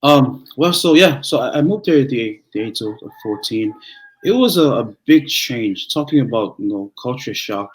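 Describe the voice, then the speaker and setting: A young man from Tonga, whose first language is Tongan, speaking as a pastor in a relaxed interview with frequent restarts and fillers. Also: mid-range voice, low-quality recording. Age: young adult. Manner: halting, many restarts and fillers. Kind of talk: conversational interview.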